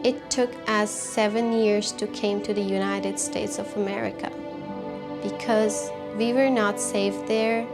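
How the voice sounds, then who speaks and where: A young woman from Afghanistan speaking a sincere, simple personal testimony. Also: mid-range voice. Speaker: refugee giving testimony.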